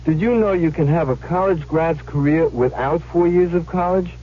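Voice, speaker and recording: Higher-voiced male radio announcer. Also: normal speed. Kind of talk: scripted public service radio announcement. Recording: muffled, lightly noisy old radio broadcast.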